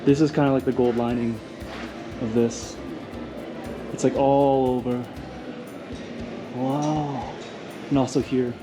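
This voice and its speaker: A man from Thailand hosts a casual travel vlog outdoors in a busy city, speaking into a handheld camera. His voice sits at an ordinary middle pitch, and his delivery is loose and chatty, peppered with "like" and "you guys". Clear background music plays under him throughout. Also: flat delivery, slow, pauses often. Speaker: travel vlogger.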